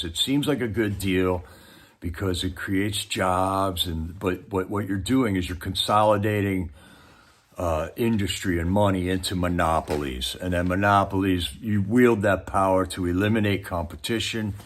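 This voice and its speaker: An American man hosting a livestream, giving casual, rambling commentary in a folksy, conversational way. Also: deep voice. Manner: folksy, conversational, peppers talk with "man" and "you know". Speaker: livestream host.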